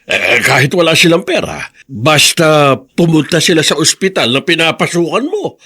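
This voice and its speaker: A man's voice: a little high, very animated, moderate pace, pushed and bright, husky, full character voice. A Filipino man acting a fond old grandfather in a radio drama, with a hesitant, folksy, tender manner. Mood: fond, proud.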